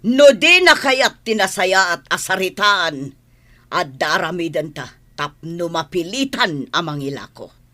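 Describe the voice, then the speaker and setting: A woman plays a scheming madam of money with an Ilocano accent, bossing her henchmen in an audio drama. Her voice is bright and forceful, swinging widely in pitch, and she takes her time over the orders. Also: lively intonation, a little slow. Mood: scheming, commanding. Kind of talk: scripted audio drama acting.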